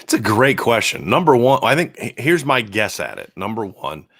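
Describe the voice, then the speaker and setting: A man with a sore, hoarse throat co-hosting a live football podcast, chatting through viewer questions and often restarting his sentences as he thinks aloud. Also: big pitch swings, normal speed, a bit bright, no echo, studio quality. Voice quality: deep voice. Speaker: podcast co-host and football analyst. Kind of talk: casual live-stream chat answering viewer questions.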